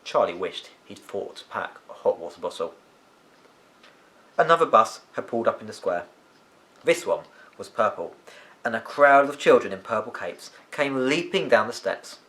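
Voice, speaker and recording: A man reading a children's novel aloud as an expressive storyteller. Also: on the low side, moderate pace, poor audio.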